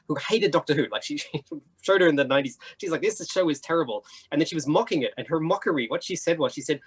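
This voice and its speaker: Guy on a panel talking really fast, bit husky, rambly and casual. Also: a little high. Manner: informal, discursive, seeks agreement with tags. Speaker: panelist, writer and editor.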